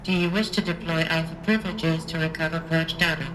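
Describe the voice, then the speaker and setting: A flat female computer voice, voiced by a woman, announcing recovered files in a video game. It sits a touch low and steady, with clear hiss behind it. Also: fairly quick, close to an everyday voice. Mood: neutral. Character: female computer voice of a data device. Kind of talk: game cutscene.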